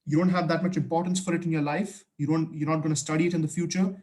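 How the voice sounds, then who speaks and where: A young man whose first language is Hindi gives study tips in a casual vlog. His voice sits a little high, and he speaks earnestly and with emphasis. He often stops and restarts his sentences as he goes. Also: steady tone, fast talker, darkish tone. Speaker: student vlogger giving study advice.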